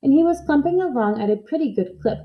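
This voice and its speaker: A woman reading a children's story aloud in an expressive narrating style. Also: sits high, fast talker, narrow, tinny audio. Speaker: storybook reader.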